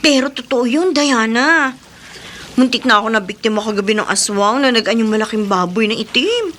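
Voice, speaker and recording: A woman's voice: on the high side, animated, moderate pace, a bit bright, slight background noise. A woman playing a blunt, gossipy young Filipina friend with emphatic horror radio drama delivery. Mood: spooked, gossipy.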